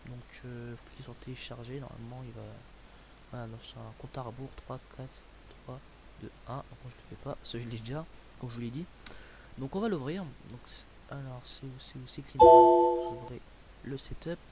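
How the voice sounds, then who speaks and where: A man's voice: mid-range voice, fairly even intonation, moderate pace. A young man from France talking through a computer tutorial in a casual, rambling way, full of fillers and restarts. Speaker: tutorial maker. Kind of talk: casual screen-recorded tutorial. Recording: hissy home recording.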